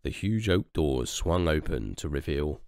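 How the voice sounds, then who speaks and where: Low gravelly guy reading a kids story, really expressive, dry close sound. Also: measured pace, no echo. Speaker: storybook reader. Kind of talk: expressive children's story narration.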